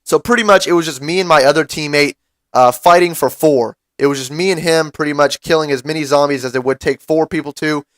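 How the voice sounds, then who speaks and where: A young man with a raspy voice from a cold, giving casual strategy commentary over gameplay to his viewers. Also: on the high side, brisk, a bit bright, no room sound. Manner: casual, explanatory, addresses viewers as guys. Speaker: gaming YouTuber.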